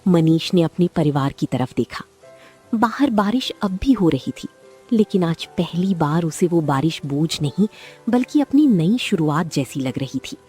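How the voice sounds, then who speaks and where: A woman's voice: on the low side, unhurried, breathy edge, soft background music. Woman narrating a cartoon story. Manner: steady storytelling.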